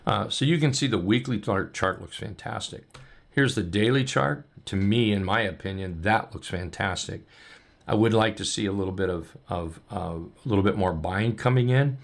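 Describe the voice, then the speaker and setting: Hoarse gravelly man walking through charts. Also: fairly low pitch, normal speed. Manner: upbeat, loose, many ums and restarts. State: losing his voice. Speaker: trading coach. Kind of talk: casual chart walkthrough.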